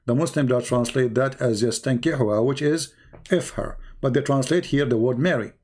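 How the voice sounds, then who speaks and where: A man with an Arabic accent hosting a live-stream debate, insistent, repeating his questions in halting English. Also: medium pitch, darkish tone. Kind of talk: heated call-in debate.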